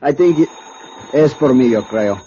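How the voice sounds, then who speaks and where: An actor playing a shrewd Mexican police captain who gropes for English words in a Mexican Spanish accent, in 1950s radio drama style. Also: male voice, mid-range voice, expressive, mellow, natural voice. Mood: earnest, halting. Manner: halting, groping for English words. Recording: dull, noisy old radio recording with clicks.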